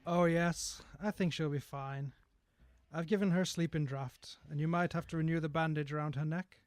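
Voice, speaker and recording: A man playing a Victorian village doctor in a radio drama, speaking in a measured, reassuring and slightly chatty manner. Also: fairly high pitch, fairly even intonation, dry recording, close to an everyday voice. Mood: reassuring, candid.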